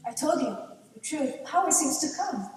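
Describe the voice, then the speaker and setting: Casting: woman as sly, mocking swamp witch, high voice, declamatory stage delivery, heard far from the mic in a reverberant hall. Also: animated, moderate pace, darkish tone. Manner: theatrical, declamatory, with Spanish phrases. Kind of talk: stage play acting. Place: large indoor theater stage, distant camera. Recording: echoey theater stage from far off.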